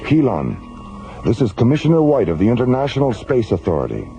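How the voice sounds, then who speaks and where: An actor playing a stern, authoritative space commissioner in a radio drama, formal and commanding. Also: male voice, mid-range voice, huge pitch swings, fairly quick, creaky, mellow, faint music behind. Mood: stern, official. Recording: dull lo-fi radio drama tape.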